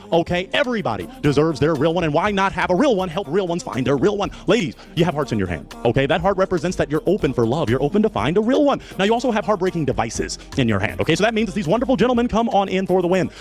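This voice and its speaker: A man's voice: high voice, big pitch swings, fast talker, few pauses, soft background music. A man presenting as a dating show host, in an emphatic, flowery, ceremonious showman style.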